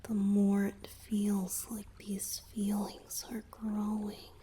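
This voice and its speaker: A woman voicing a lovesick young merman in a romantic ASMR roleplay, with a hesitant, earnest delivery in a breathy whisper. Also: mid-range voice, monotone, slow pace, dark tone, slight background noise, close to an everyday voice. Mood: melancholy, yearning. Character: lovesick young merman, tender, anxious and yearning.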